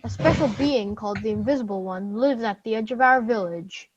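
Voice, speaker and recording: A woman's voice: on the high side, fairly quick, slight background noise. A woman narrating a scripted tale for children as a storyteller, on slightly dull audio.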